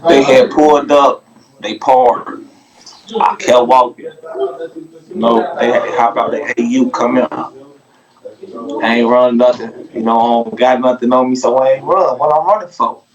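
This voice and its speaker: A man's voice: mid-range voice, steady tone, measured pace, pauses often, dull audio. A man who is a podcast guest, telling a long casual story in a rambling, profane way and asking "you feel me" again and again.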